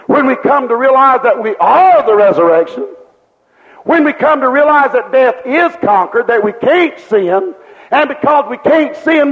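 High-voiced fervent male preacher, echoing hall. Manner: fervent, repetitive preaching with rhetorical questions. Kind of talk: sermon. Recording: dull lo-fi recording with long hall reverb.

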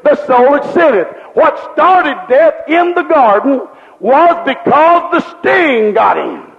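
A man's voice: extremely high pitch, animated, takes it slowly, creaky. A man preaching a sermon with fervent rhetorical questions and repeated refrains. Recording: dull lo-fi recording with long hall reverb.

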